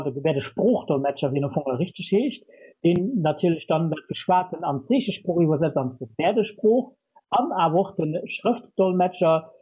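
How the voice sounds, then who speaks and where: A man's voice: high-pitched, dark tone, husky, dull audio. A man with a Luxembourgish accent, a guest on a radio program, explaining his work in a hesitant way with many fillers. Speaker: guest from a communication center. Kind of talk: radio interview answers.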